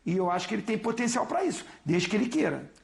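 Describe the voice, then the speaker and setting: A man's voice: high-pitched, very animated, quick pace, vocal fry. A man from Brazil speaking as a studio pundit in a TV football debate, laying out his points step by step. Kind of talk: TV sports debate.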